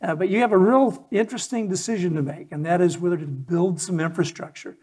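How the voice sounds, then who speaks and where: A man in his sixties with a husky voice, giving a conversational keynote talk on stage, stressing his key words. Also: sits high, fairly quick, a little mellow. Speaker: keynote speaker at a charity event. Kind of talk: public keynote presentation. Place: stage in an event hall with a PA, indoors.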